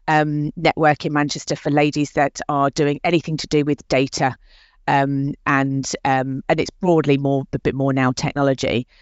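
A woman's voice: sits low, no room sound, muffled recording. A woman hosting a podcast interview, talking in a chatty, enthusiastic way with many fillers. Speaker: podcast host.

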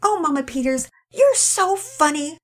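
A woman voicing a cheerful, eager young girl in an audio story, speaking in a bubbly, excited way. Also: high-pitched, unhurried, pitched above the performer's own voice.

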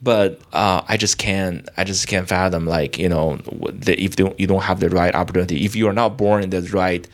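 A young man from China, a guest in an interview, talking in a halting way with many restarts and fillers. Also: low voice, steady tone. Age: young adult. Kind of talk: casual interview talk.

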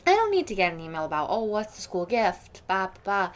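A woman's voice: mid-range voice, sing-song, a little fast, slight background noise, natural voice. A woman playing a snobbish, judgmental wealthy school mother in an improvised radio play, rambling on with boastful opinions. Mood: irritated, dismissive.

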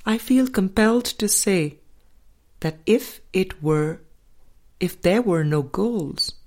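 A woman reading a spiritual book aloud as an audiobook narrator, in measured, deliberate phrases with pauses. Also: mid-range voice, lively intonation, a little mellow. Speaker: audiobook reader.

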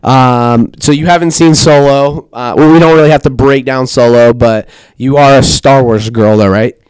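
Young male podcast host, laid-back chat, crisp clear voice, no room echo. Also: mid-range voice, steady tone, normal speed, a little mellow. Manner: laid-back, laughs often, swears casually. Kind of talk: casual chat.

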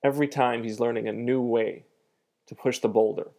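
Young guy with a nasal voice, talking solo on his podcast, reflective and self-deprecating, lots of pauses. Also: medium pitch, steady tone, creaky, a little mellow. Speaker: podcast host.

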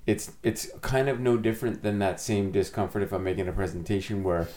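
A man hosting a casual podcast interview in a playful, jokey way, often chiming in with quick replies and asides. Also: fairly low pitch, fairly even intonation, a little mellow. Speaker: podcast host.

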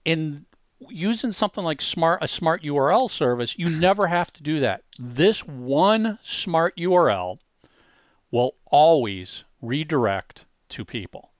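A man's voice: on the high side, lively intonation, measured pace, no room sound. A man presenting a casual tutorial, explaining step by step in a conversational way with emphatic asides. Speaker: presenter demonstrating a web tool.

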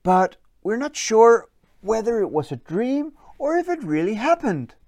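Storyteller as an eager young troll boy, animated. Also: medium pitch, a little slow, no room sound, clean studio sound, voice pushed up. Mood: curious. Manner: eager, wondering.

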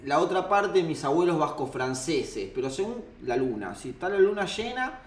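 Higher-voiced Argentine man in an echoey room. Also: very animated, moderate pace. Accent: Rioplatense Argentine. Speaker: interview guest. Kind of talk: casual chat, personal anecdotes. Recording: roomy, echoey sound.

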